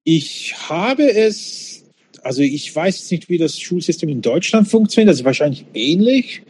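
Male, fifties, non-native German speaker, candid casual storyteller, highly varied intonation, slightly high register. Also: sing-song, normal speed, darkish tone, slight background noise. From Switzerland, born in Czechoslovakia. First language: not German. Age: 50s. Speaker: interview guest. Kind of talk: casual reminiscing conversation.

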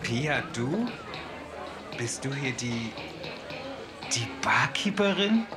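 A man's voice: mid-range voice, sing-song, takes it slowly, over music. A man playing a confident starship captain in a German sci-fi audio drama, commanding his crew in a casual way. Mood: surprised.

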